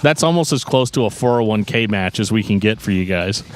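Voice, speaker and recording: A man's voice: lowish voice, expressive, fairly quick, soft background music. A man co-hosting a podcast in casual chat, explaining things in a joking, rambling way. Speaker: podcast co-host.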